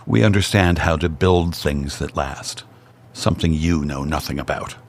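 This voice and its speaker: A man reading the part of a stern wealthy mother who disapproves of her son's partner, in slow, cold, measured lines. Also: extremely low pitch.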